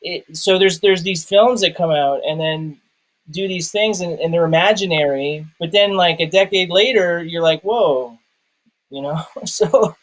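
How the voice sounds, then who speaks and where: A man's voice: a little high, unhurried, rough recording. A man co-hosting a casual film discussion, rambling and searching for his words as he goes. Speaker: film review co-host.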